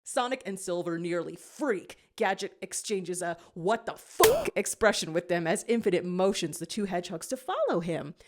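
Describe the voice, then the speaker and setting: A woman narrating a scripted fan fiction story in an expressive reading style. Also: fairly low pitch, moderate pace, hardly any pauses.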